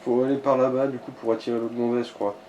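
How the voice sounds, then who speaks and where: A man's voice: medium pitch, little pitch movement, quick pace, dark tone. A man commentating a video game in casual chat, blunt and slangy with some swearing. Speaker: let's play co-commentator. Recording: distant mic with faint noise.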